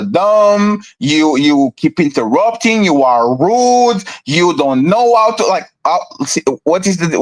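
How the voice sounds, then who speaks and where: A man's voice: fairly high pitch, poor audio. Man lecturing his co-host, repeating himself. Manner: lecturing, repeats words, uses basketball comparisons. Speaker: podcast co-host and moderator. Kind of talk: heated argument among podcast hosts.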